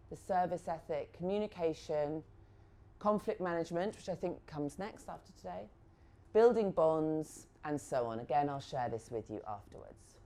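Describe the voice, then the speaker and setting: A middle-aged woman giving a frank, lively workshop talk on negotiation, acting out short example conversations as she goes. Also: sits low. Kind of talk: live workshop talk to assistants.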